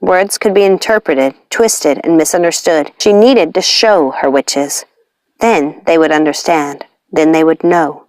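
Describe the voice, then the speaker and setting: A woman reading a story aloud as scripted narration, in an expressive storytelling style. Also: sits low, a little slow, dry recording. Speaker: storybook reader.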